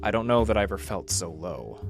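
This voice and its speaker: An actor playing a wry, slangy hard-boiled detective with a soft heart, in noir radio drama style. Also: male voice, sits low, sing-song, fairly quick, creaky, music in the background, exaggerated voice. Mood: somber narration. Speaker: lead actor and narrator.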